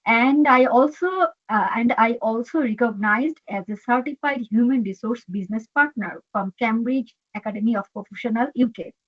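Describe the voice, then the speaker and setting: Halting Bangladeshi woman, dull line. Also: fairly high pitch. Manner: earnest, halting, frequent fillers and "okay". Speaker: HR executive, guest. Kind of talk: interview answers.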